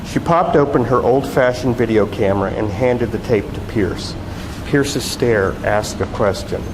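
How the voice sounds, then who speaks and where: A man's voice: medium pitch, unhurried. Man, novelist, live literary reading, echoing hall, noisy background. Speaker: novelist reading own work. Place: public arts event, voice ringing in the space. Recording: echoey hall with loud background noise.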